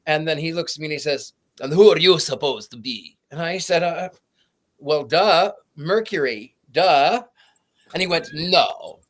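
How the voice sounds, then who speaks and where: A man chatting casually on a film podcast, telling anecdotes with acted-out quotes and groping for words. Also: a little high. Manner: animated anecdote teller, acts out quotes, stumbles for words. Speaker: podcast panelist. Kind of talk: casual chat, storytelling.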